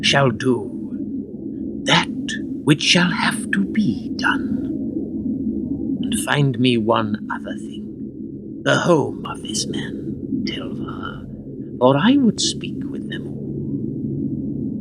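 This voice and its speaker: Guy playing grave old-time lord in radio drama, breathy n halting, big swings in pitch, really noisy recording. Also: expressive, exaggerated voice. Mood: urgent, commanding. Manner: solemn, halting, drawn-out delivery. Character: grave, burdened medieval lord, father of a grown son. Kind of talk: archaic theatrical radio play acting.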